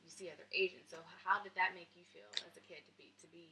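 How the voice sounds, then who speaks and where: Interview host: female, medium pitch, crisp bright tone, conversational, recorded at some distance from the microphone. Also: little pitch movement, fast.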